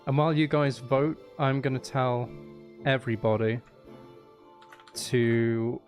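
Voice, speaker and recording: A man's voice: medium pitch, fairly even intonation, slow pace, darkish tone, faint music behind. A man chatting casually as he hosts a live game stream, playfully mock-dramatic and self-deprecating with his viewers. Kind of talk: casual chatty gaming livestream talk with viewers.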